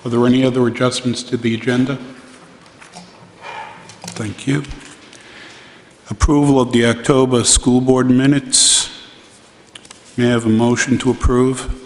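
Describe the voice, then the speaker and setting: Man, middle-aged, meeting chair, formal procedure, monotone, reverberant chamber. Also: medium pitch, measured pace, pauses often. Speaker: school board chair. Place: large indoor council chamber. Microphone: gooseneck microphone on dais. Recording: reverberant meeting hall, faint noise.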